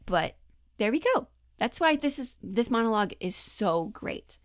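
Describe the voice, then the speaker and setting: A woman's voice: fairly high pitch, very animated, measured pace, dry recording. Chatty woman acting coach, very dry sound. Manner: chatty, informal, thinking aloud. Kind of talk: casual explanatory lesson.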